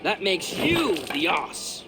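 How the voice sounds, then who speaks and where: An actor playing a mocking, defiant seventeen-year-old prince in a video game. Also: male voice, high-pitched, very animated, takes it slowly, music in the background, full character voice. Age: teens.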